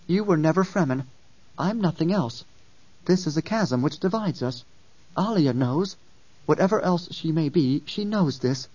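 A man's voice: a little high, animated, normal speed, a little mellow, dry recording, pitched above the performer's own voice. A man voicing a fierce young girl who carries ancient memories, speaking with grave intensity in an audiobook. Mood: cold resolve. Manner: intense, grave, sometimes pleading. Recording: dull, distant, dry sound.

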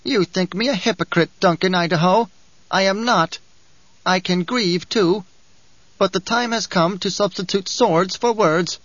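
A man's voice: high-pitched, a little slow, a bit bright, no room sound, muffled recording, pitched above the performer's own voice. A man reading an audiobook, voicing a whining, spiteful male dwarf who taunts and giggles. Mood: mocking.

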